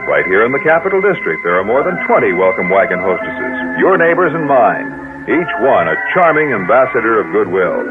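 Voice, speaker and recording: A man's voice: fairly low pitch, sing-song, brisk, phone-quality sound. An American man reading a scripted radio commercial in 1960s style on a thin old recording. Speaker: commercial announcer. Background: music.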